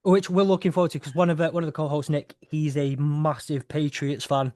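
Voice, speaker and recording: A man's voice: on the high side, fairly even intonation, a little fast, a little mellow, dry recording. Hesitant male podcast host, dry sound. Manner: hesitant, restarts sentences. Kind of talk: casual chat.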